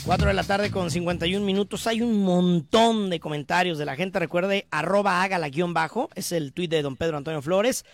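A Mexican man hosting a sports radio show, reading listener messages in brisk, chatty, teasing banter. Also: high voice, soft background music. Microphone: studio microphone.

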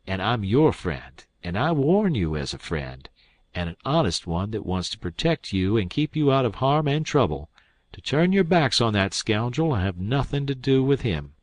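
A man's voice: fairly low pitch, no echo. A man voicing a blunt, honest, indignant doctor in an audiobook, scolding and emphatic. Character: blunt, indignant iron-jawed male doctor, stern and honest.

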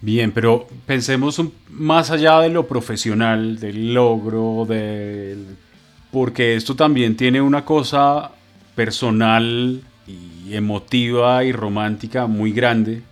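A man speaks as an interviewer in a middle-pitched voice, casual and informal. He builds his questions in a searching, conversational way. Light music runs behind him. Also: steady tone, takes it slowly.

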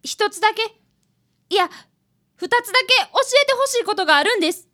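A woman's voice: very high voice, lively intonation, a little fast, pushed and bright, exaggerated voice. A woman voicing an eager fifth-grade boy, an apprentice detective, in a radio drama. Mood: upset, pleading. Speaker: actor and narrator in a radio drama. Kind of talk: radio drama acting and narration.

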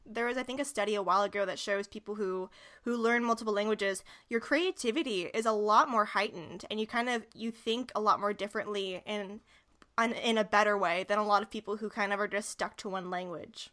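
A woman's voice: mid-range voice, brisk, pushed and bright. A woman hosting a podcast, chatting casually and gushing with praise for her guest. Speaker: podcast host. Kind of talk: casual interview chat.

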